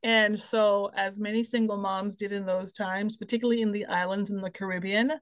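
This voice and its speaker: A woman from the Bahamas, a podcast guest telling personal stories in a lively way that keeps checking in with "right?" and "you know". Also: medium pitch, a little fast, no room sound. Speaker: guest, human potential expert. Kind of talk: podcast interview, personal storytelling.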